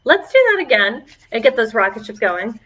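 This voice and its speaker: A woman children's librarian leading a preschool storytime online, encouraging and animated as she talks to young children. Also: fairly high pitch, moderate pace, recorded from a distance. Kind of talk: preschool singalong and chat to children. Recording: dull, lo-fi online video audio.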